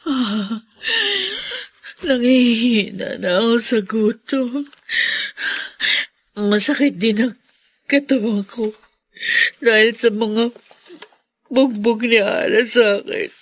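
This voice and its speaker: Slow, pleading, high-voiced young Filipino woman. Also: slightly rough, dry recording, exaggerated voice. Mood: weary, remorseful. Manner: pleading, plaintive, halting. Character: remorseful, suffering young woman begging for forgiveness. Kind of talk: Filipino radio drama acting.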